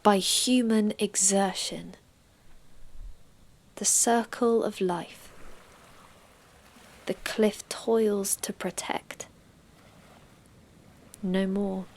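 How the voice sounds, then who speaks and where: A woman reciting poetry deliberately, with dramatic pauses. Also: mid-range voice, fairly even intonation, a little mellow. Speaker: poetry reader.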